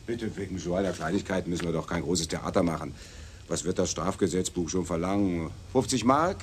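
Radio play casting: male actor as cocky, deep-voiced pimp, glib mockery and theatrical wheedling, faint background noise. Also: moderate pace, lightly acted. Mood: mocking, indignant. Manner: mocking, glib, theatrical pleading. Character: cocky, wheedling pimp pleading with and sneering at police.

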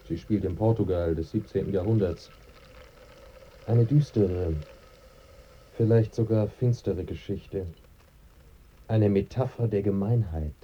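Dark-voiced, teasing bon vivant. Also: male voice, sits low, slightly rough, muffled recording. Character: dead writer friend, bantering, evasive, pleasure-loving man. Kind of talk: German radio play dialogue.

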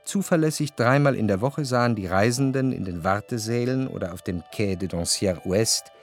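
A man narrating a literary radio drama, phrasing long, scripted sentences in a measured way. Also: on the low side. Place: dry studio.

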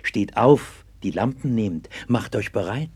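A devout old-time pastor in a radio drama. His low voice is dark and husky, and he speaks with patient, solemn earnestness, as if comforting a child. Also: lively intonation, unhurried, heavily acted. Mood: earnest, storytelling. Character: gentle, devout 16th-century pastor comforting a sick child. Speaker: actor in a radio play. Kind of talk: 1960s German radio play acting.

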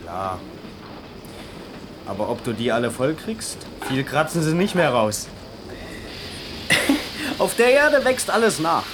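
A nosy, teasing traveller in a radio play. His high voice swoops around in unhurried, colloquial lines, over clear background noise. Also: sing-song. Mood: teasing, knowing. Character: nosy, teasing male local fellow traveller on a train.

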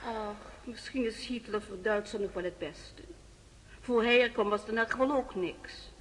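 A woman's voice: fairly high pitch, normal speed, natural voice. An actress playing a smug, gossipy village lady who is breezily dismissive, in a radio drama with long reverb. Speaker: village acquaintance in a remembered scene. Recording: long reverberant flashback echo.

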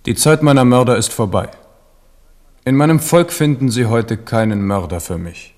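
A man's voice: medium pitch, moderate pace, a little mellow, lightly acted. An actor playing a jovial, self-assured king of France in a radio play, hearty and prone to laughter. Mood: confident. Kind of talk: 1970s radio play acting.

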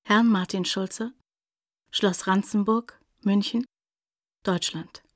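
An actress playing a formal, impersonal reader of addresses in a radio play, deliberate and matter-of-fact. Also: female voice, mid-range voice, fairly even intonation, no echo, clean studio sound.

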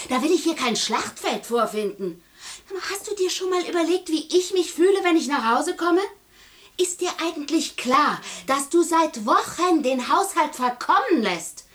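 An exasperated wife in a radio play. Her voice is high, and she speaks with reproach and indignation, as a woman fed up with her husband. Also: animated, moderate pace, fairly bright tone. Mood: angry, reproachful. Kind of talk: German radio play dialogue.

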